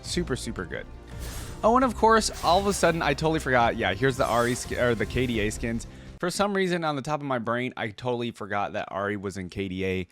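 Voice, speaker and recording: A man's voice: mid-range voice, expressive, fast, fairly bright tone, soft background music. A man hosting a reaction video, commenting casually on a game and thinking aloud in an informal way. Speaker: reaction video host, gamer.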